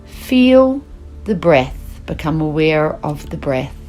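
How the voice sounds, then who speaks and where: A woman teaching yoga nidra, guiding a relaxation meditation in slow, soothing instructions with long pauses. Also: low voice, sing-song, a little mellow, soft background music, rough recording.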